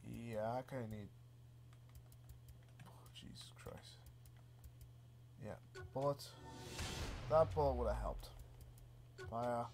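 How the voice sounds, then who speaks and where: A man, stuffy from a cold, casually commenting on his own gameplay with relaxed, easily amused remarks and mild swearing. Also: mid-range voice, slow, long pauses, slight background noise. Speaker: gaming channel host. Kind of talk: casual let's play commentary.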